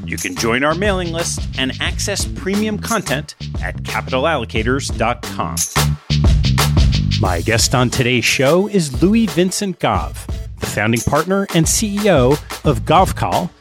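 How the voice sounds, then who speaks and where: A man hosting a podcast, reading a measured scripted introduction and then asking his guest questions. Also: a little high, loud music behind the voice. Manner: measured, lively intonation. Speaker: podcast host.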